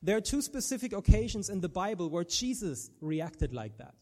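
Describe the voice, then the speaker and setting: A man's voice: high voice, big pitch swings, normal speed, darkish tone. A man from Germany with a German accent, preaching an earnest sermon and often restarting his words.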